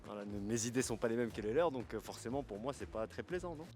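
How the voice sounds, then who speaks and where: A man's voice: medium pitch, animated, quick pace. A man passerby answering a street interview politely, with hesitant, hedging speech. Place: outdoors on a city street.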